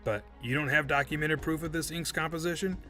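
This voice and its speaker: A man's voice: fairly high pitch, fairly even intonation, a little fast, bright, forceful tone, faint music behind. A man playing a smug, evasive suspect on the witness stand in an audio drama, speaking in a formal, condescending, precise way.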